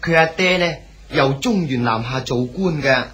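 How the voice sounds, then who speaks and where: Actor as eager, bashful young son. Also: male voice, a little high, normal speed, a bit bright, lightly acted. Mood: eager, flustered. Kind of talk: Cantonese radio drama acting.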